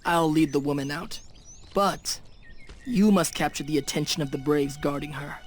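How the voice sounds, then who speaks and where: Male game role: actor as stern, proud shaman, slightly high voice, formal and solemn, clear music bed. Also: fairly even intonation, lightly acted. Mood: sly. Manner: formal, solemn, measured phrasing. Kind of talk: dramatic video game acting. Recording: music behind the voice.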